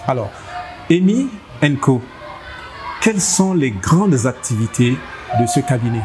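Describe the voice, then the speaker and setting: A man's voice: medium pitch, over music, crowd noise. Man hosting a TV interview. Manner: measured, interjects with short acknowledgements. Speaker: interviewer host. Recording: steady background noise.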